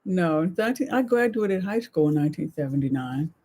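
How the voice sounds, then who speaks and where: A woman's voice: on the low side, expressive, a little fast, warm tone. American woman in her sixties, chatting. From United States. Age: 60s. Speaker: co-host of a live chat show. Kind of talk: casual reflective chat.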